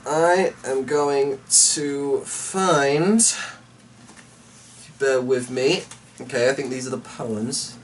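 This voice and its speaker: A man's voice: mid-range voice, extremely slow. A man hosting a casual vlog, rambling to his viewers in a muttering, distracted, mildly exasperated way. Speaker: vlog host. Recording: distant mic with faint background noise.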